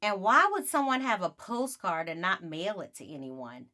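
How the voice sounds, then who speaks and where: A woman's voice: lowish voice, big pitch swings, normal speed, bright, forceful tone. Woman reading as a teasing, skeptical boy. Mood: doubting. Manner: taunting, contrary. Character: teasing boy, skeptical, spooky-minded sibling.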